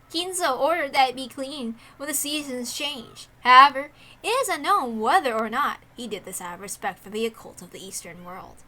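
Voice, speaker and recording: A woman's voice: high-pitched, bright, forceful tone, rough recording. A woman reading visual novel text aloud expressively as a streamer, with casual asides in between. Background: game soundtrack music.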